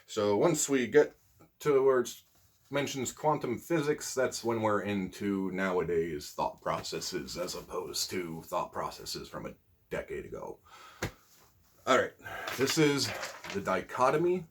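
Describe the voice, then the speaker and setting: A man with a husky voice, an amateur poet hosting his own videos, talking casually and reciting spoken-word poetry. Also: fairly low pitch, expressive, unhurried, a little hiss or hum.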